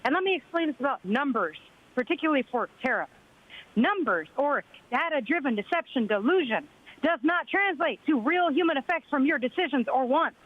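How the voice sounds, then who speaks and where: A man's voice: extremely high pitch, lively intonation, a little fast. A man calling in with a combative, accusatory public comment. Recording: tinny phone line.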